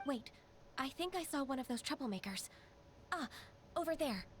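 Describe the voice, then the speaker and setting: An actress voicing a calm, formal female secretary in a game. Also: high-pitched, noisy background. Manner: formal, polite, measured.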